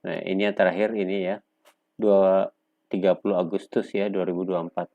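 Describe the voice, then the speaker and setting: A man presenting an unscripted software tutorial step by step, speaking in a halting, instructional way with pauses between short phrases. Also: sits low, flat delivery, narrow, tinny audio. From Indonesia. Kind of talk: unscripted step-by-step screen demonstration.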